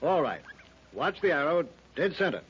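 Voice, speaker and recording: A hearty, boastful expedition leader. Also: male voice, on the high side, sing-song, normal speed, a bit bright, slightly rough, heavily acted. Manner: jovial, boastful, then sheepish. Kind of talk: 1930s radio drama acting. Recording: dull 1930s radio recording, faint hiss.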